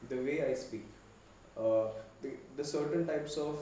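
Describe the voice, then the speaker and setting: A man's voice: on the high side, a little slow, warm tone. Indian man, distant and echoey. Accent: Indian English. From Goa, India. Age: young adult. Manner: reflective, rambling with many restarts. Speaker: podcast guest. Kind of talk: casual chat. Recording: echoey room, distant mic, faint noise.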